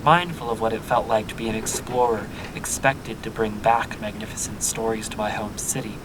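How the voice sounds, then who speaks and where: A man's voice: fairly even intonation, normal speed, lightly acted. A man playing a reflective, wry explorer in an audio drama. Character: reflective young male explorer narrating his journey. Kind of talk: audio drama acting and first-person narration. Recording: noisy, low rumbling hum behind.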